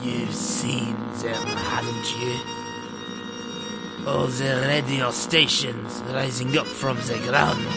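A man's voice: medium pitch, vocal fry, low-quality recording. A man playing a grave, dramatic movie-trailer announcer in a parody commercial.

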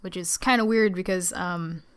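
A teenage girl with a naturally low voice, tired and subdued, giving a casual, rambling, hesitant update as a vlogger. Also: fairly even intonation, normal speed, clear, resonant tone. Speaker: video channel creator.

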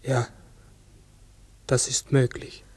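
An actor playing a large talking raven in a 1960s radio drama, brooding, uncertain and often correcting himself. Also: male voice, a little mellow, no room sound. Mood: pensive, uncertain. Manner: halting, brooding, self-correcting. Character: human-sized talking raven, pensive, uncertain, forgetful.

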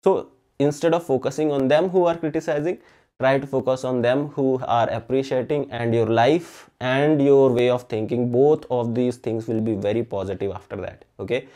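A man's voice: medium pitch, moderate pace, warm tone. A young man whose first language is Hindi, talking earnestly to camera as a chemistry channel host and often checking in with "okay?". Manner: earnest, frequent "okay?" tags and fillers. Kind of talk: casual talk to camera. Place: indoor room with balloons. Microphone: facing camera at mid framing.

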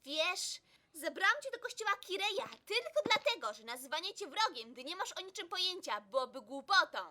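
A very high, bright teenage girl acted by a woman in an anime dub. She is proud and haughty but easily flustered, and her pitch swings in a lively way. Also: a little fast, heavily acted. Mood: hesitant, businesslike. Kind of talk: anime fan dub acting.

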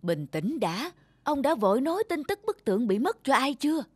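A stout, middle-aged landlord's wife from a cartoon. She is shrewd and cautious, giving firm counsel with very lively intonation. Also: high-pitched, normal speed, husky, no echo, studio quality, full character voice. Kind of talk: cartoon dialogue.

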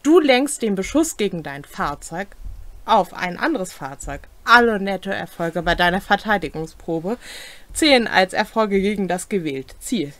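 A woman's voice: medium pitch, animated, a little slow, fairly bright tone, no echo. A young adult woman casually reading out and discussing tabletop game rules as a co-host. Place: small indoor home room.

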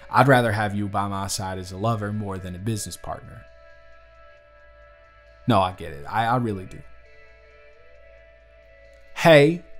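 A man's voice: lowish voice, natural voice. A man with a Southern US accent playing an earnest, charming young bartender in love in an intimate audio roleplay. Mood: tender, teasing. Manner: earnest, conversational, sweet-talking. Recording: music playing under the voice. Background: acoustic music.

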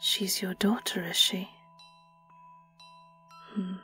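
A woman playing a sly, vengeful vampire in an audio roleplay, with a British accent, a breathy, often whispered voice and a taunting, theatrical delivery. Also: mid-range voice, little pitch movement, takes it slowly, sharp tone, soft background music. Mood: gloating, menacing. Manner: taunting, theatrical, lingering on words. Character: vengeful young female vampire, sly, gloating and predatory. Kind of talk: dramatic audio roleplay monologue.

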